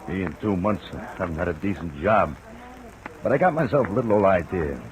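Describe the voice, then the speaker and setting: A man's voice: low voice, fairly quick, low-quality recording. An actor playing a hesitant, folksy, down-on-his-luck private detective in an old-time radio drama. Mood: chatty, confiding. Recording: old radio recording, steady hiss.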